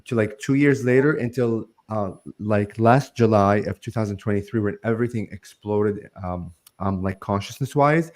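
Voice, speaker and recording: Man, 40s, low voice, earnest, rambling, remote call. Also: a little mellow. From Lebanon. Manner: earnest, loose, heavy with "like" and "um". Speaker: guest, spiritual channel. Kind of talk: conversational interview.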